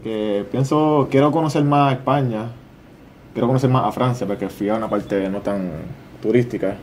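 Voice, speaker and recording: A young man with a mixed Puerto Rican and Dominican accent, answering interview questions in a shy, modest, soft-spoken way. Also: mid-range voice, moderate pace, a little hiss or hum. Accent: Puerto Rican and Dominican mix. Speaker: interview guest. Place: small studio with brick-look wall. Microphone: desk boom mic.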